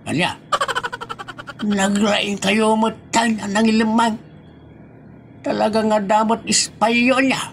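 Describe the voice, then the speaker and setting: A man's voice: high voice, little pitch movement, takes it slowly, long pauses, a little hiss or hum. A man voicing a grumbling middle-aged husband and father in a comedic audio drama, scolding and teasing his family.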